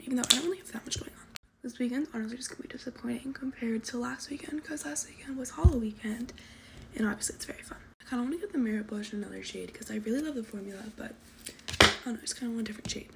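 Gravelly teen girl half-whispering. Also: fairly high pitch, noisy background. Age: teens. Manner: soft half-whispered rambling with lots of "like". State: losing her voice from coughing. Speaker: vlogger. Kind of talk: casual get-ready-with-me chat. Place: bedroom. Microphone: phone camera at arm's length.

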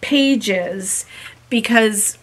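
A woman in her fifties, raspy from a coming cold, chatting to camera about a craft and thinking aloud with many ums. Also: mid-range voice, extremely slow, slight background noise. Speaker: fiber-craft vlogger. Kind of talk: casual chat to camera. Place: small room in a home, indoors. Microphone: camera in front at desk distance.

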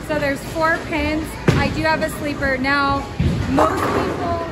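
A woman's voice: steady tone, a little slow, loud music behind the voice. A young woman giving an emphatic, conversational bowling tutorial in a casual style. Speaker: bowling instructor. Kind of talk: casual hands-on tutorial talk. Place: indoor bowling alley. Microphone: camera a step or two away. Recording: loud bowling alley noise, camera audio. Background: loud bowling alley rumble.

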